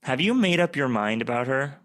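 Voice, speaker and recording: A man's voice: mid-range voice, expressive, a little fast, fairly bright tone, close to an everyday voice. A man playing a skeptical, probing teenage girl in a radio play.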